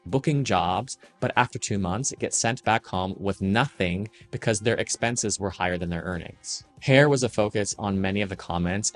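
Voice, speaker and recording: A man narrating a scripted video essay on the fashion industry in a measured, serious, critical manner. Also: deep voice.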